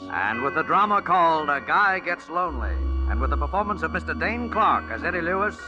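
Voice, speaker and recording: A man's voice: fairly high pitch, lively intonation, a little fast, dull audio, over loud music. Formal, dramatic male radio announcer. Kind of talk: 1940s radio announcing, scripted. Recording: old 1940s radio broadcast recording.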